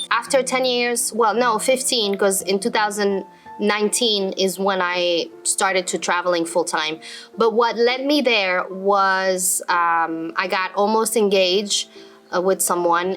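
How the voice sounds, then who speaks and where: A woman's voice: mid-range voice, a bit bright. A woman in her thirties who grew up in Belgium, telling her own story unscripted and speaking directly to viewers with advice. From Belgium (born in Morocco). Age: 30s. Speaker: travel vlogger and business coach. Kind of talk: unscripted personal story to camera.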